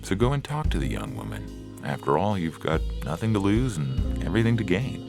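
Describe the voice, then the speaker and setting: A man playing a calm, reassuring therapist in a science fiction audio story, gentle and patient, with music behind him. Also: sits low. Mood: gently encouraging. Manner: patient, gentle, measured questioning. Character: calm, reassuring male therapist to humans and robots. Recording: music clearly under the voice.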